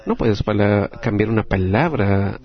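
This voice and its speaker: A man with a Latin American Spanish accent interpreting a group discussion live, in halting, phrase-by-phrase delivery. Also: fairly low pitch, animated, lo-fi sound.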